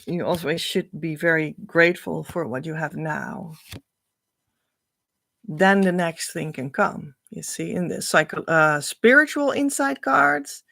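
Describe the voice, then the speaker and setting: Woman, slow unhurried speech, gravelly fry, dry close sound, earnest encouraging coach. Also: sits low, expressive, fairly bright tone, no room sound. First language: Dutch. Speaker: spiritual coach and card reader. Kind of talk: casual card-reading monologue.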